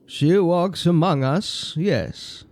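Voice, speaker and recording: Stern Franciscan friar for horror audio drama: deliberate, formal, accusing, slow delivery over a music bed. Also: male voice, a little high, darkish tone. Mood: grave, certain.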